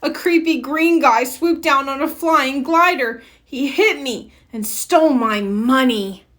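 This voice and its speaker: A woman's voice: high-pitched, measured pace, a bit bright, pitched above the performer's own voice. A woman voicing a frightened male crime victim in a children's storybook, distressed and exclamatory. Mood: panicked. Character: frightened male robbery victim crying for help. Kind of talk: children's storybook read-aloud.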